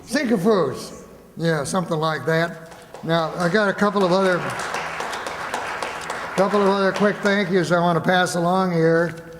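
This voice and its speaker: A man hosting a stage show, giving informal thanks to an audience in a halting, rambling, jokey way. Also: high-pitched, fairly even intonation, crowd noise. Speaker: host of a radio players' stage show. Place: stage in a hall before a live audience. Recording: echoey hall, audience, background noise.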